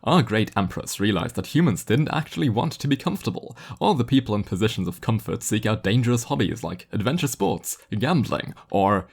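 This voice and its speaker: A man's voice: lowish voice, animated, a little fast, creaky, few pauses, slightly rough, full character voice. A man voicing a young boy servant who recites propaganda in a theatrical, rehearsed, commercial-like way. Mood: rehearsed, fawning.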